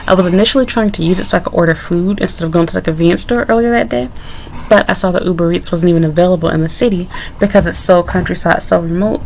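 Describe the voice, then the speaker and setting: Gravelly low-voiced woman telling a story. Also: fast, darkish tone, a little hiss or hum, poor audio. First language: English. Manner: candid, rambling with frequent asides. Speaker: vlogger. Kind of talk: casual story-time monologue.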